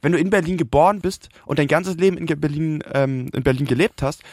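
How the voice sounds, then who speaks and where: A man's voice: fairly high pitch, steady tone, quick pace, a little mellow, no echo, studio quality. A young man co-hosting a podcast, debating casually and firmly in slang mixed with English loanwords. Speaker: podcast co-host.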